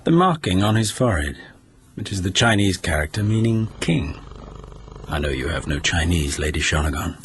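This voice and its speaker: An actor playing a haughty aristocratic palace lord in a radio drama, silky and condescending, with faint background noise. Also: male voice, low-pitched, big pitch swings, moderate pace, fairly bright tone, full character voice.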